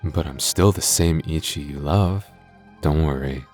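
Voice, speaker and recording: Slow, very low, coy male ASMR host, in character. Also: a little mellow, soft background music. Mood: playful. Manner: coy, playful, flirtatious. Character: coy, flirty masked male host of an audio dimension. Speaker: audio roleplay host. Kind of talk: ASMR outro addressed to the listener.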